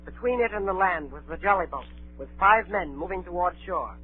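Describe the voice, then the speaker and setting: A man's voice: sits high, animated, a little fast, breathy edge, soft background music. An actor playing an earnest boy adventurer, telling his perilous tale in clipped, dramatic 1930s radio narration. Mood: grave. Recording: tinny 1930s radio broadcast.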